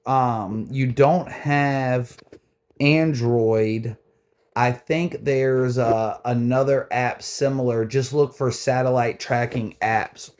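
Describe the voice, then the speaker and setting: A man's voice: medium pitch, darkish tone. A man hosting a hobby tutorial, talking informally and conversationally as he explains things step by step. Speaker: tutorial host, radio hobbyist. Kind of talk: casual how-to walkthrough.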